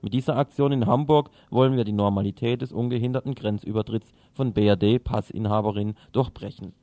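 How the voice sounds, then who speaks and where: A man's voice: lowish voice, steady tone, a little fast, warm tone. A man presenting radio news, reading from a script and now and then stumbling over a word.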